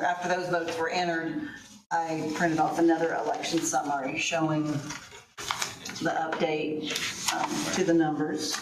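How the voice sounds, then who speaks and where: A middle-aged woman explaining election documents in a matter-of-fact way at a board meeting, recorded from afar in a county meeting room. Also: deep voice. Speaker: election office staff. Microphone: far room camera. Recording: echoey room, distant mic, noisy.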